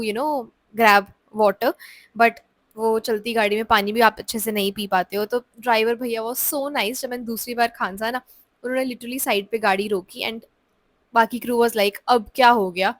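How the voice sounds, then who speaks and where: Young woman, chatty vlog voice-over, sore throat, fairly high voice, dry close sound. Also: steady tone, normal speed, fairly bright tone, no echo. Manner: chatty Hinglish storytelling. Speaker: vlogger. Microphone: close voice-over mic.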